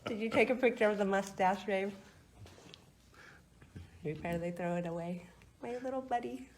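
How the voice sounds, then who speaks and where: A woman's voice: mid-range voice, moderate pace, darkish tone. A woman patient making casual, chatty, joking conversation during a procedure. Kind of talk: casual chat. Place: indoor surgical procedure room. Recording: faint background noise in room.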